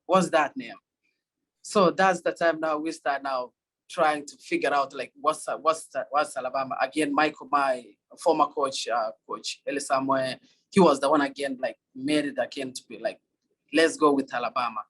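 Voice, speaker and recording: Kenyan college runner, young man, husky tone, halting answers. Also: medium pitch, a bit bright, poor audio. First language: Swahili. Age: young adult. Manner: halting, many fillers and restarts. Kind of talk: interview answers.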